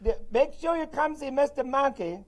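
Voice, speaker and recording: A high-pitched, fussy male monkey who manages a band and brags about limos and big decisions, as a man puts him on. He dodges every question with polished politeness. The voice sits well above a normal male register and stays loud and lively. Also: normal speed. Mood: chatty. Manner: boastful, evasive, overly polite. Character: shady smooth-talking male monkey manager. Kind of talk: comic character bit on radio. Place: radio studio.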